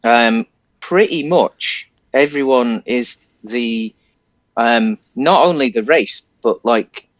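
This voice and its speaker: A man's voice: mid-range voice, slow pace, dry recording, highs cut off. A man co-hosting a podcast, casually discussing a film in a hesitant, rambling way. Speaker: podcast co-host.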